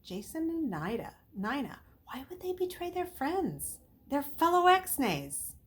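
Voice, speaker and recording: A woman's voice: high-pitched, big pitch swings, a little slow, a little hiss or hum, voice pushed up. A woman voicing an earnest, questioning schoolboy in a storybook, urgent and insistent. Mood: puzzled.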